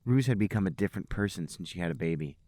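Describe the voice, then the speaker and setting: A man with a lowish voice reads a story aloud as a podcast host. His pitch moves around a lot, and he keeps a mock-serious storyteller's delivery in a dry, close recording. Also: expressive, racing, dark tone, no echo. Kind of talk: comic dramatic reading of amateur fiction.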